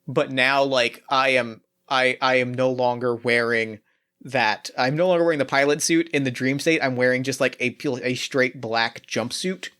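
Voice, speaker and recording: Male GM narrator, average pitch, halting delivery with restarts. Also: fairly even intonation, a bit bright, smooth. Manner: halting, with many fillers and restarts. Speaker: game master. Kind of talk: narrating a tabletop game.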